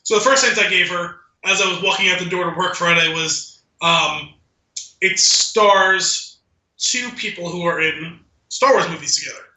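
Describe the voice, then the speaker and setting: A man with a very bright, forceful, high voice in a roomy, echoey space. He tells casual, rambling stories like a podcast co-host, animated and sardonic, quoting back-and-forth dialogue as he goes. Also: moderate pace, slight background noise.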